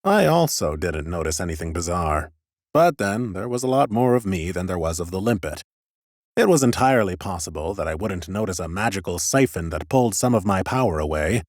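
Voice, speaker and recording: A man's voice: low voice, lively intonation, brisk, no room sound, studio quality. A man playing an ancient, scholarly lich who muses in a precise, pompous and clinically curious way, as in a first-person fantasy audiobook. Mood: musing, clinical.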